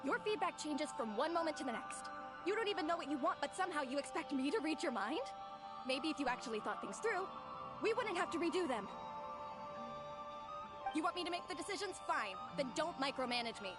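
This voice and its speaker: A fed-up overworked game heroine. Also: female voice, high voice, animated, a little fast. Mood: angry. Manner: exasperated, ranting. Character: overworked young woman artist, fed up. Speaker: overworked employee. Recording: loud game music behind.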